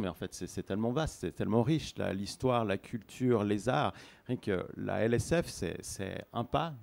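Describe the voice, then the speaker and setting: A man's voice: on the low side, creaky, a little mellow. A man speaking earnestly and hesitantly in a group discussion, with many restarts. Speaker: participant in a discussion.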